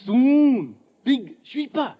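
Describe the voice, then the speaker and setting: An eager small-time hustler with a caricatured Japanese accent. The man's voice is very high and animated, wheedling in clipped broken English. It comes from a muffled old radio drama. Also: very slow, husky, exaggerated voice. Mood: insistent, wheedling. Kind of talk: 1950s radio drama acting. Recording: muffled, slightly noisy vintage radio recording.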